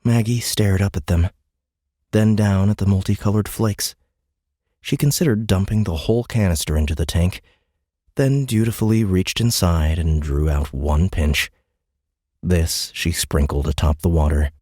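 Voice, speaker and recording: A man's voice: low voice, moderate pace, dark tone, no echo, clean studio sound. A man narrating an audiobook, reading scripted third-person story prose.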